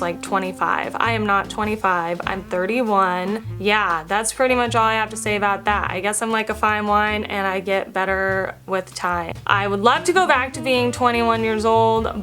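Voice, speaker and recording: A woman's voice: mid-range voice, fairly bright tone. A woman in her thirties talking frankly and chattily to camera, answering what fans assume about her. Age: 30s. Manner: frank and chatty, with quick restarts. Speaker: vlogger answering fan assumptions. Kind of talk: casual talk to camera.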